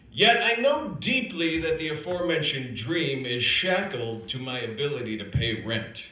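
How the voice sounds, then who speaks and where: An American man performs his own comic true story in an echoing live room. He takes his time, with dramatic pauses and lively swings in pitch. His delivery is wry and self-deprecating. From United States, South Dakota. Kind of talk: scripted comic personal narrative performed live. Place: indoor performance space at an acting institute. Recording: roomy, echoing live stage recording.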